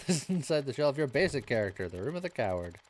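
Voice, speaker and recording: A man's voice: medium pitch, very animated, fast talker. A man reading video game story text aloud on a live stream, mixing dramatic narration with joking casual chat.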